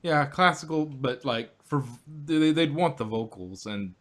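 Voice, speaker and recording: A man co-hosting a casual review podcast, halting and laughing often as he talks. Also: a little high, fairly bright tone. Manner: laughing, halting, many fillers. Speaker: podcast co-host.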